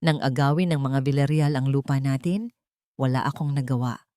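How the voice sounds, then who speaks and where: A woman's voice: rumbling low, fairly quick, no room sound, clean studio sound, pitched below the performer's own voice. Woman voicing an earnest, protective older father. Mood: regretful. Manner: earnest, soft-spoken. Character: regretful, protective rich older father, gentle but resolute.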